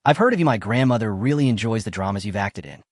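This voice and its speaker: A man voicing a calm, slightly awkward young male film director. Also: lowish voice, quick pace, no echo, clean studio sound.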